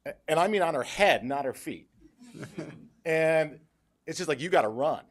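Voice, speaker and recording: A man in his fifties from Western New York, a parent making a loud, animated public comment at a school board meeting, standing back from the podium mic. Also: a little high, a little slow. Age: 50s. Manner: loud, animated, numbers-driven speech. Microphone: podium mic, stepped back from it.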